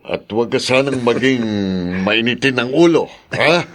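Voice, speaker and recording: A man's voice: medium pitch, animated, unhurried, a crowd in the background, lightly acted. Man acting jovial, bantering radio-drama father. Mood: fatherly advice. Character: genial, bantering father of grown children, still spry.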